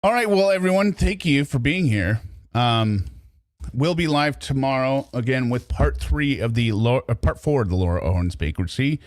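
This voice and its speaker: A man's voice: medium pitch, animated, normal speed, no room sound. A man hosting a casual livestream, reacting and commenting in a chatty, teasing, mock-exasperated way. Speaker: livestream host.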